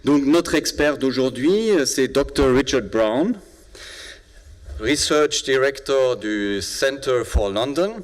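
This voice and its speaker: A man's voice: medium pitch, lively intonation, slow. A man with a Bavarian German accent, a planning professor opening a public lecture in a hesitant way, with many filler sounds. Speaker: urban planning professor, event moderator.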